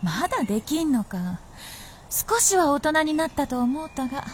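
A woman's voice: sits high, sing-song, moderate pace, slight background noise. A woman acting as a proud, vengeful and teasing fox princess in a radio drama, speaking in an archaic, imperious way. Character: proud old-fashioned vixen spirit princess, vengeful and teasing.

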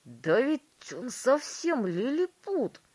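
Storybook dad, read by a woman: middle-aged, glum, mellow, slow and grumbling. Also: mid-range voice, sing-song, no room sound, heavily acted. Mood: bewildered. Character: gloomy, sighing middle-aged father.

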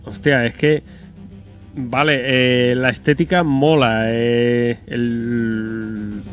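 A man's voice: mid-range voice, steady tone, drawn-out words. Man, podcast co-host, blunt, skeptical, casual, faint background music. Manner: blunt, swears, skeptical, laughs often. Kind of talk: casual gaming chat.

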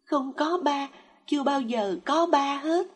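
A woman's voice: sits high, slow, voice pushed up. A woman voicing a weak, dying young child who sobs as it speaks. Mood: sobbing.

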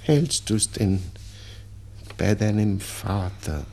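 An actor playing an anxious, doting old father with a Viennese accent in a radio drama, pleading haltingly and repeating himself. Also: lowish voice, sing-song, a little mellow, full character voice. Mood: anxious, tender. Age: older. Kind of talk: 1960s German-language radio drama acting.

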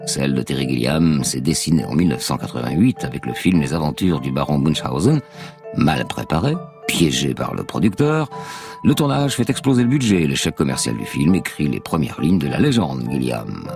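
Very deep gravelly male narrator over music. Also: very animated, normal speed. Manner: dramatic storyteller, poses rhetorical questions. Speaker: host and narrator. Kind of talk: scripted radio documentary narration. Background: music under the voice.

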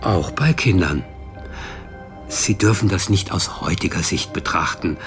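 An actor playing a matter-of-fact male official at a care institution, measured and polite, in a naturalistic radio drama. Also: low voice, faint music behind.

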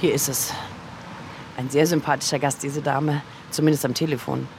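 A man's voice: mid-range voice, level tone, moderate pace, noisy background. A man commenting casually as he goes.

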